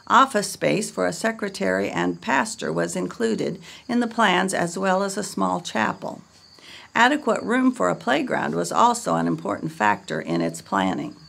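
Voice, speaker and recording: A woman reading a scripted history narration in a measured, read-aloud way. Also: medium pitch, creaky, fairly bright tone.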